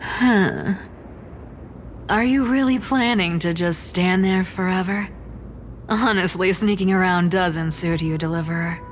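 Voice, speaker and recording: A woman's voice: lowish voice, unhurried, slight background noise, close to an everyday voice. A woman voicing a sly, guarded thief in a video game, with a wry, teasing and nonchalant manner. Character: sly, guarded, sardonic female thief, ageless old-timer. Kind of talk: dramatic video game voice acting.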